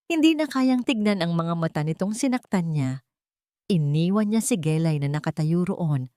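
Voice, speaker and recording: Low-voiced woman narrating romantic drama. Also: a little mellow, no room sound, studio quality. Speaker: storyteller.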